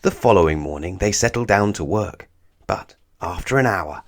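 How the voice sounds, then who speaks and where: Male children's book narrator: low register, lively swooping intonation, expressive scripted read-aloud with measured phrasing.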